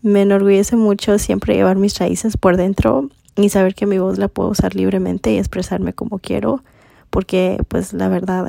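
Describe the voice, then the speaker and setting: A woman's voice: medium pitch, gravelly, mellow, no echo. A woman in her twenties from Mexico, hosting a podcast and chatting casually with many fillers. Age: 20s. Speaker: podcast host.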